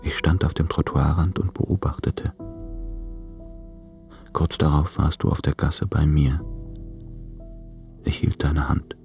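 Very deep, gravelly, haunted man. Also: fairly even intonation, slow, long pauses, darkish tone, faint music behind, full character voice. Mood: haunted, introspective. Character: brooding, haunted, yearning man recounting thoughts and dreams. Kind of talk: literary radio drama monologue.

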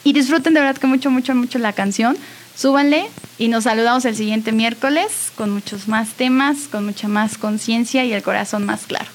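A woman radio host with a fairly high voice, speaking in an earnest and encouraging way. She keeps it conversational and slips in little giggles, over a slight background hiss. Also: a little fast. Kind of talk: warm conversational radio sign-off.

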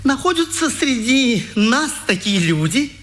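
A man acting a high-ranking, pompous Nazi official in a 1950s radio drama, measured, oratorical and insinuating. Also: big pitch swings, a bit bright, exaggerated voice. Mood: condescending.

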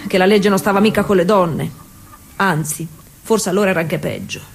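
A woman's voice: medium pitch, gravelly, a little hiss or hum, close to an everyday voice. An actress playing a defiant working-class woman cyclist confiding in Jesus in a colloquial theatre monologue. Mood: bitter, defiant. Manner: confiding, colloquial, with drawn-out pauses and hushed asides. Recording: music playing behind the voice.

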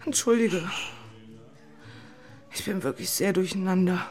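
A woman's voice: mid-range voice, a little slow, a little mellow, lightly acted. An actress playing an emotional, impulsive young woman in love turmoil, in a dramatic radio play style. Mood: subdued, confessional. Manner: dramatic, volatile, shifting into murmurs. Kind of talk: German radio play acting. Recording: music underneath.

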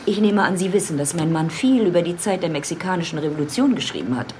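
A woman acts out an elderly, proud widow in a radio drama. The low voice is very animated and creaky, guiding guests reverently. Faint ambient noise sits behind it. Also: fast talker, natural voice. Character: proud elderly widow showing her late writer husband's house. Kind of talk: radio drama acting as a house tour.

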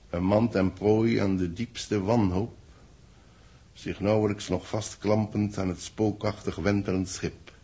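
An actor playing a grave, authoritative boss with a husky voice and solemn dramatic phrasing, in 1960s radio drama style. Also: male voice, on the low side, warm tone, full character voice.

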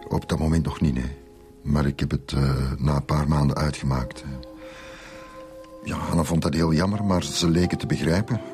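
An actor playing a troubled, middle-aged school principal in a radio drama, halting and subdued, with a Flemish accent. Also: male voice, very deep voice. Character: troubled male school principal confessing, resigned and hesitant. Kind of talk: naturalistic radio drama acting.

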